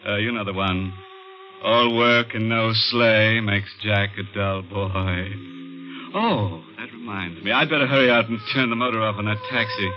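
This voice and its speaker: An actor playing a gleefully macabre, punning host of a 1950s radio horror show, sardonic and mock-genteel. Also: male voice, fairly low pitch, steady tone, moderate pace, close to an everyday voice. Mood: sardonic glee. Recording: muffled old radio recording, music behind.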